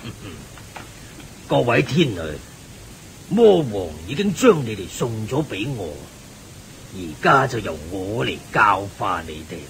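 Wise old sage, radio play, deepish and slow, kinda teacherly. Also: male voice, big pitch swings, creaky, fairly bright tone, heavily acted, low-quality recording. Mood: calm teaching. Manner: measured, teaching. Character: wise elderly male lay sage, genial and shrewd. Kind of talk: radio drama acting. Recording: faint rumbling hiss.

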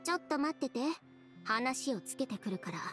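A woman playing a cool, proud, sharp-tongued young seamstress in a game, curt and self-assured. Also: high-pitched, expressive, normal speed, faint music behind. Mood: curt, confident.

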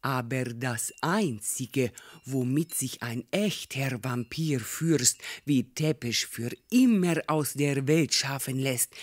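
Bossy old lady fortune teller, slow and haughty, comedy audio play, very dry sound. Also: medium pitch, dry recording. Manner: imperious, deliberate, lecturing. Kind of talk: German comedy audio drama acting.

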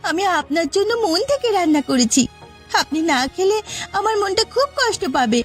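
Woman voicing a soft, high-pitched pleading young bride. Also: very animated, normal speed, soft background music. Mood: hurt, pleading. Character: gentle young new bride, pleading and dutiful daughter-in-law. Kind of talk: cartoon story character acting.

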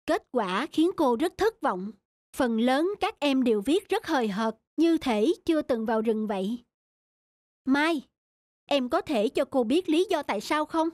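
A woman voicing a gentle, caring schoolteacher in a cartoon, with a measured, instructive manner. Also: high-pitched, expressive, no echo, studio quality. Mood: earnest. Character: gentle, caring female schoolteacher addressing her class. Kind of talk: animated cartoon dubbing.

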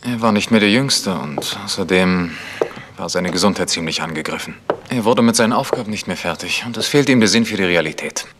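An actor playing an earnest, guarded village vicar with a formal manner in a German radio crime drama. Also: male voice, deep voice, brisk, natural voice. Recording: noisy recording with clicks.

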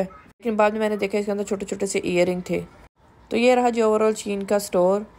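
A woman's voice: on the low side, warm tone. A woman, giving candid, chatty voice-over narration for her shopping vlog and words into her speech. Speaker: vlogger.

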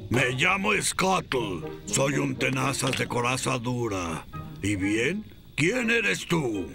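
An actor with a Latin American Spanish accent playing a boastful, grumpy old crab in a cartoon dub, rambling theatrically and dismissively. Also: male voice, mid-range voice, very animated, slow pace, gravelly, over music, heavily acted.

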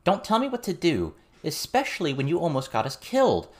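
A man's voice: medium pitch, sing-song, moderate pace, voice pushed up, lightly acted. A man voicing a fierce young girl in a storybook, blunt, suspicious and challenging. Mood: furious.

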